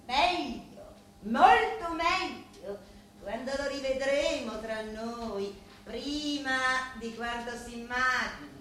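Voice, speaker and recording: A proud, darkly tender Sicilian woman of a crime clan, played by an actress, speaks a stage monologue in a fairly high voice. Her phrases are deliberate and broken by dramatic pauses. The room is echoey, with faint noise behind her. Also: bright, forceful tone, heavily acted. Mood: intimate, brooding. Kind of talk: theatrical monologue in Italian mixed with Sicilian dialect.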